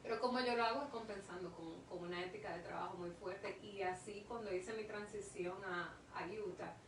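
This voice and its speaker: A woman's voice: medium pitch, brisk. A woman with a Dominican accent speaking as an interview guest, earnest and emphatic, often repeating words for stress. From Dominican Republic. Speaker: interview guest, industrial engineer. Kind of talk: casual interview conversation. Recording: echoey room, distant mic, noisy.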